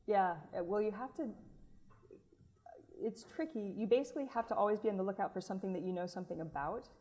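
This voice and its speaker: A woman's voice: mid-range voice, quick pace, mellow. A woman editor on a panel, explaining casually and thinking aloud with fillers. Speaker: magazine science editor, panelist. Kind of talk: panel discussion.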